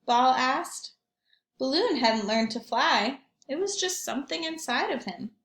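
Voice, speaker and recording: A woman reading a storybook aloud to young children like a teacher, expressively, pausing for simple questions and comments. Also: sits high, lively intonation, fairly bright tone. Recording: roomy echo.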